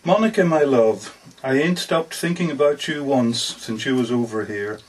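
A man's voice: medium pitch, animated, normal speed, husky. A man reading aloud as an earnest, lovestruck working-class man, folksy and plainspoken, in a dramatic story reading. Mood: elated, devoted. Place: bookshop book launch. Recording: distant mic at a live reading.

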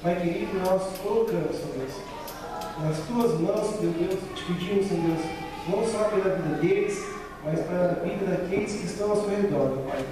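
High-voiced man praying in an echoey church. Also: moderate pace, over music. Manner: repeats "Senhor Deus" and "Pai" between every phrase. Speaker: church member leading prayer. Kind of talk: spoken congregational prayer. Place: large church hall. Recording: echoey church hall, distant mic, background noise.

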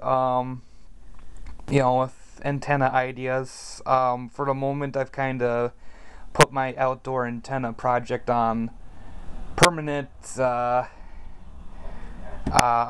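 A man's voice: mid-range voice, clear, resonant tone, no room sound. A man giving a casual, unscripted hobby demonstration in a hesitant, self-deprecating way, indoors on a handheld camera. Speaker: hobbyist video maker. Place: indoors in a carpeted room at home. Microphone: handheld camera mic. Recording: noisy home camera recording, radio static.

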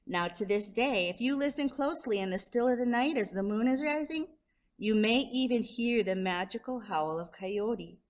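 Expressive woman reading a children's storybook. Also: fairly high pitch, normal speed. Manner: expressive, draws out descriptive words. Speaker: storyteller, show host.

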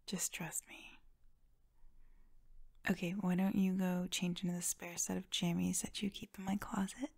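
A young woman playing an affectionate, playful friend in an ASMR roleplay, speaking softly and breathily, often in a whisper, with gentle reassurance. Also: lowish voice, little pitch movement, vocal fry, breathy edge, heavily acted. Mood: warm, affectionate. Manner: gentle, reassuring, giggly, halting.

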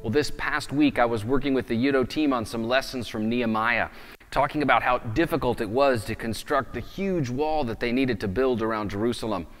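Conversational preacher with a reverberant sound. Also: male voice, medium pitch, fairly quick, soft background music. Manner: conversational, explaining with open hand gestures. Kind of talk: sermon. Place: indoors in front of a wooden plank wall. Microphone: lapel mic.

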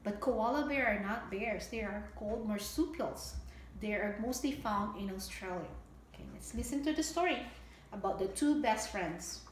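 A woman's voice: medium pitch, moderate pace. A middle-aged woman hosting story time, reading a picture book aloud expressively and explaining things simply for young children. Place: indoor home room with table. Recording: echoey room with audible background noise.

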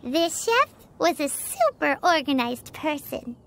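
A woman voicing a tiny childlike fairy companion in a video game, squeaky, chirpy and curious, with exaggerated expressive reactions. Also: slow, creaky, a little hiss or hum, exaggerated voice. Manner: refers to self in third person, exaggerated expressive reactions. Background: background music.

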